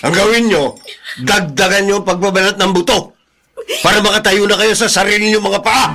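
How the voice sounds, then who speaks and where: A man's voice: high voice, a little fast. A man playing a harsh, angry middle-aged father in a Filipino radio drama, scolding and domineering. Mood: cold, defiant.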